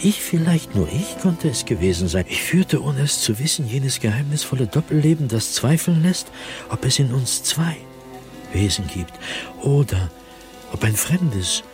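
An actor playing a tormented man sliding into madness, recounting his nightmares as a diary in a radio drama, in a brooding, halting manner that swings between hushed and agitated. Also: mid-range voice, sing-song, music in the background. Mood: agitated questioning. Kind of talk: dramatic radio play monologue.

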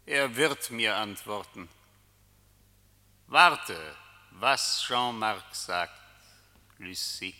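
A man's voice: fairly low pitch, expressive, drawn-out words, long pauses, pushed and bright, exaggerated voice. A man acting in a literary radio play as an uncanny, eloquent seducer, taunting and commanding with theatrical rhetorical questions. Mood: coaxing, challenging. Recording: long reverberant hall.

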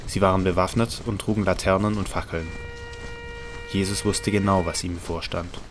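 Deep, even-toned male narrator. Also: normal speed, over music. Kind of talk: scripted Bible audio drama narration.

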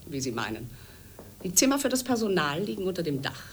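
A woman playing a brisk house manager in a radio drama, businesslike and politely bossy as she gives orders. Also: on the low side, very animated, slight background noise. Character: brisk, commanding female manager of a conference house.

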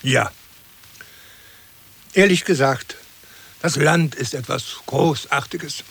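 An actor playing an earnest, pedantic gentleman who talks politely and qualifies himself, in an absurd comic radio play. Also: fairly high pitch, slow, gravelly, breathy edge, heavily acted. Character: earnest, pedantic gentleman praising country life.

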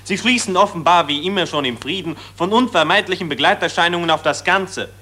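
An actor playing a pompous, patriotic optimist who lectures in a solemn, high-flown way. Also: male voice, fairly quick, pushed and bright. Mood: lofty.

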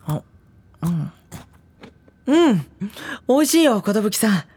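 An actor playing a gentle, anxious teenage schoolboy who narrates an audio drama with wry worry. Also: male voice, sits high, big pitch swings, takes it slowly, husky, dry recording, full character voice. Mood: hesitant, polite. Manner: wry, worried inner monologue. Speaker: narrator and lead character.

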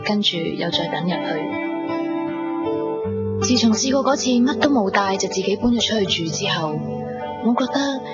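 A woman's voice: medium pitch, expressive, moderate pace, long pauses, lightly acted. An actress with a Hong Kong Cantonese accent playing a sensitive, stubborn young daughter in a radio drama, who also narrates her own love story. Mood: reflective. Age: young adult. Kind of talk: radio drama acting with reflective first-person narration. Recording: dull 1990s radio recording under music.

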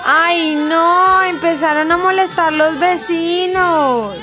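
A woman's voice: very high voice, slow, loud music behind the voice. A woman playing a conciliatory, easily flustered young friend with a Colombian accent in a radio soap. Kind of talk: radio soap opera acting.

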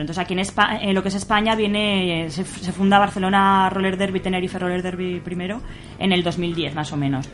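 A woman with a Spanish accent from Spain chatting informally as an interview guest, with salty, everyday phrasing. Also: mid-range voice. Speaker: interview guest, roller derby player. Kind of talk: casual chat.

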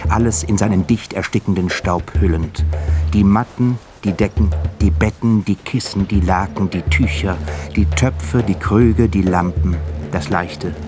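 A man's voice: low-pitched, unhurried, music in the background. A man narrating a literary radio drama in a dramatic, emphatic style.

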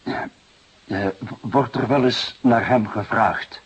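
An actor playing a calm, courteous, shrewd older police detective in a Dutch radio drama, speaking in a measured, politely probing way. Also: male voice, mid-range voice, breathy edge, lo-fi sound, exaggerated voice. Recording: noisy radio drama recording.

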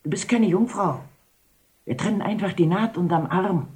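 An actress playing a worldly, bawdy older procuress in a radio play, knowing and teasing. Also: female voice, on the low side, moderate pace, darkish tone, lightly acted. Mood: amused, worldly. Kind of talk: radio drama acting.

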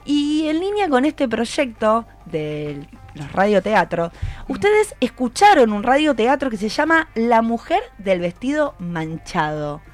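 An Argentine woman hosts a casual radio chat in a fairly high, very lively voice. She is encouraging, keeps asking questions and echoes answers back, with faint music behind her. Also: measured pace. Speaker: radio host interviewing students. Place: radio studio. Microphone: studio microphone.

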